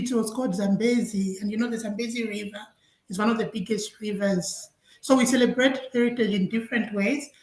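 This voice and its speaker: A woman's voice: a little high, darkish tone, echoey room, rough recording. A woman from Zambia giving a webinar talk as a guest scientist, telling her own story with frequent laughs over a video call. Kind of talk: webinar presentation, personal story.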